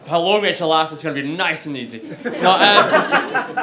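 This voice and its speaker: A man's voice: fairly high pitch, lively intonation, moderate pace, over a noisy crowd, heavy background noise. A young man with a Glaswegian Scottish accent doing a stand-up comedy set on a club stage, chatty and self-mocking, often laughing at his own jokes. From Scotland.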